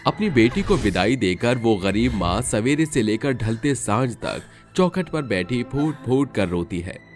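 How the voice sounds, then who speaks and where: A man's voice: mid-range voice, expressive, a little slow. A man reading scripted, dramatic storytelling narration. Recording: music under the voice.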